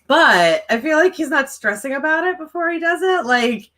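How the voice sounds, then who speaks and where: A woman chats to her viewers in a relaxed, rambling way, with lots of fillers along the way. Her mild stutter slips in on repeated words. Her voice sounds bright and forceful. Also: sits high, moderate pace. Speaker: writing livestream co-host and author. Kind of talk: casual chat to viewers.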